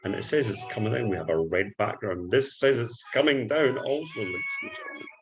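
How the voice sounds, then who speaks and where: A Scottish man giving a trading tutorial, talking up his strategy in an excited salesman patter and often calling out "ladies and gentlemen.". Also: mid-range voice, a little fast, over music. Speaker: forex trading instructor. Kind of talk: screen-share trading tutorial.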